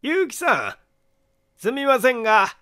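Man playing a high-pitched odd, amused eccentric. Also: very animated, pushed and bright, full character voice. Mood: polite request. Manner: chuckling to himself, drawn-out storytelling. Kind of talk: dramatized audiobook reading.

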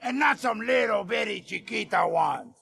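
An actor playing a hot-headed, macho young Cuban gang boss in a video game, with a strained raspy voice, a Cuban accent and blustering talk sprinkled with Spanish. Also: male voice, high-pitched, moderate pace, pushed and bright, heavily acted. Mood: amused, swaggering. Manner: blustering, boastful, peppers English with Spanish. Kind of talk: video game cutscene acting.

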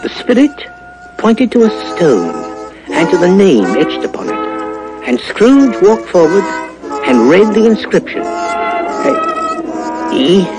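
A man's voice: high-pitched, huge pitch swings, slow pace, pauses often, music in the background, heavily acted, low-quality recording. A man narrating a 1950s radio drama in a dramatic scripted storytelling style.

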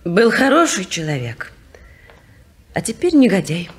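A woman's voice: mid-range voice, sing-song, a little slow. An actress playing a woman in a tense family drama, in 1950s radio play style. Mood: troubled.

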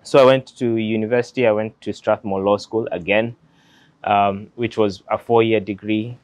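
A man's voice: lowish voice, fairly even intonation, normal speed, no room sound. A young man in his late twenties with a Kenyan accent, telling his story to an interviewer in an earnest, reflective way, recorded outdoors on a lapel mic. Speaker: interview guest, lawyer. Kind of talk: relaxed personal storytelling. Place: outdoors beside a glass building.

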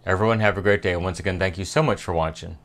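A man's voice: low voice, fairly even intonation, very fast, a bit bright. A middle-aged American man narrating a hands-on electronics repair tutorial in a methodical, plain-spoken way. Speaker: electronics repair video host.

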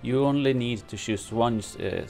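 A man who is not a native English speaker, reading game patch notes aloud and commenting on them from his home streaming room, halting with many fillers and restarts. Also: medium pitch, a little mellow, faint music behind. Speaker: gaming streamer.

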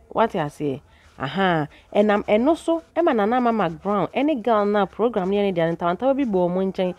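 A woman's voice: mid-range voice, a little mellow, dry recording. A Ghanaian woman hosts her own channel and talks through celebrity gossip in a chatty voice-over. She keeps drawing her listeners in with little questions as she goes. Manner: chatty, asks viewers "what I say?". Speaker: channel host and narrator.

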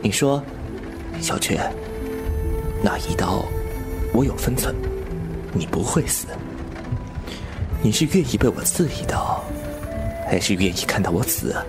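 A man's voice: on the low side, animated, measured pace, vocal fry, long pauses. An actor playing a clever, teasing young swordsman with wounded pride and a flippant, sardonic manner in a Chinese wuxia audio drama. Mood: wry, hurt. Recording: music under the voice.

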